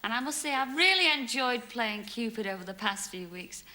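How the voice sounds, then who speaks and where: A woman's voice: on the high side, expressive, normal speed, pushed and bright. A woman hosting a television dating game show, chatty and coaxing as she teases the contestants. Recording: 1980s television studio audio. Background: studio audience.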